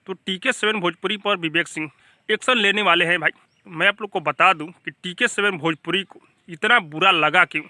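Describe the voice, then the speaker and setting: A man with a Bhojpuri accent hosts an informal gossip news update. His voice is high and strikingly bright, recorded very dry. He talks emphatically and directly to his viewers. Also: moderate pace, no echo. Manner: emphatic, repeatedly addressing viewers as brother. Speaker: YouTube channel host. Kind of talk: informal gossip news commentary to camera or voice-over.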